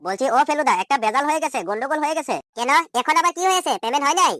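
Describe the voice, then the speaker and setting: Guy doing an indignant village man for a cartoon, voice shifted up high, talks fast, keeps repeating questions. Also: expressive, bright, forceful tone, dry recording, clean studio sound, full character voice. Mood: worried. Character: exasperated, scolding ordinary village man. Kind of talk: amateur comedy cartoon dubbing.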